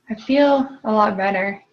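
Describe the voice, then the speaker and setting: A woman's voice: medium pitch, dull audio. A woman client repeating tapping phrases after her coach and hesitantly describing her nervousness. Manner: hesitant, searches for words.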